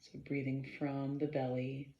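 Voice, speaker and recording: Lady doing a guided meditation, really slow w pauses, lots of vocal fry, echoey room. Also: rumbling low, monotone. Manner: measured, halting delivery with pauses and frequent throat clearing. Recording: roomy, slightly dull sound.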